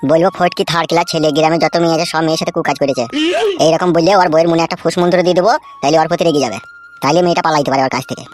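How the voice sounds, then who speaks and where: Man voicing a fast-talking, scheming cartoon henchman. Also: fairly high pitch, steady tone, faint music behind, lightly acted. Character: scheming, tattling male henchman of local boss. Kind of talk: comedy cartoon voice acting.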